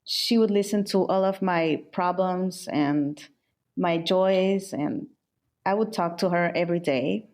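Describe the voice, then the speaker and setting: A woman's voice: lowish voice, measured pace, darkish tone. Candid middle-aged woman from El Salvador. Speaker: guest, furniture and interior designer. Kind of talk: conversational interview answers.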